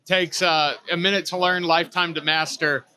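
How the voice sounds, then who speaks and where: A man's voice: a little high, flat delivery, moderate pace, sharp tone. A man in his twenties hosting an interview, opening with a scripted intro and then asking questions in a friendly, conversational way. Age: 20s. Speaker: interview host. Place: on a cruise ship.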